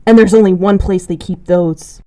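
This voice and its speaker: A woman's voice: medium pitch, fairly even intonation, normal speed, very noisy, lightly acted. A woman playing a proud, scornful young boy sidekick, fiercely loyal to his father, in an amateur superhero radio drama. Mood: investigating, cocky.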